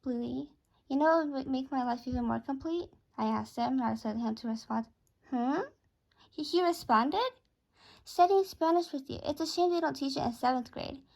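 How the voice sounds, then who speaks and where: A woman with a high-pitched voice reads a first-person story aloud. She narrates it as written, occasionally tripping over a phrase and going back over it. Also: normal speed, vocal fry, darkish tone, low-quality recording. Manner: stumbles and rereads phrases. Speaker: author reading own story aloud.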